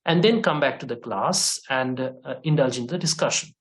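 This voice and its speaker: Hesitant man explaining, lots of pauses. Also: medium pitch. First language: not English. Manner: hesitant, many fillers and restarts. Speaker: course instructor. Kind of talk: online course discussion.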